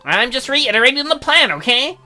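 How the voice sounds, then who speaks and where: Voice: sits high, sing-song, brisk, sharp tone. A let's play co-host acting out game dialogue, bantering in a mock-indignant way. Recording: background music under voice.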